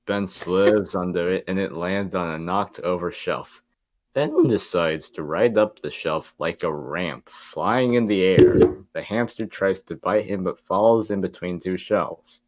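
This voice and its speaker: A man's voice: low-pitched, measured pace. A man narrating a script at a casual table read, reading out stage directions.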